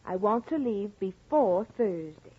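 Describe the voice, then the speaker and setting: An actress playing a deferential, superstitious village housekeeper in a vintage radio drama, stubborn and fearful. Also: female voice, mid-range voice, animated, a little slow, breathy edge. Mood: reluctant, firm. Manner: respectful, reluctant servant's speech. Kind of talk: 1950s radio drama character acting. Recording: muffled, noisy old radio recording.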